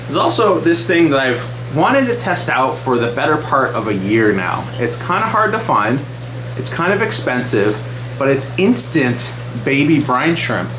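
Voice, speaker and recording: A man's voice: medium pitch, very animated, measured pace, roomy sound, background noise. A man in his thirties hosting an aquarium vlog, chatting casually and enthusiastically with frequent fillers, recorded in a small wood-paneled room close to the camera. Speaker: aquarium channel host.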